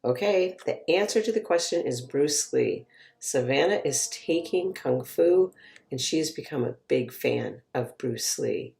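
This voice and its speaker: Low-voiced woman author. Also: expressive, unhurried, vocal fry, mellow. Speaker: mystery and thriller author. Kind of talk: trivia presentation to camera.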